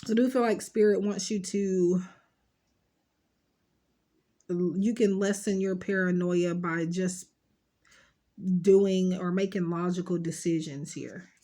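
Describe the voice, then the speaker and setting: Lady with a stuffy nose rambling through a tarot reading, lowish voice, lots of ums, reassuring. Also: steady tone, slow, long pauses, warm tone. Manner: chatty, rambling, reassuring, with many fillers. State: stuffed up, sniffling. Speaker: tarot card reader. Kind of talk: casual pick-a-card tarot reading.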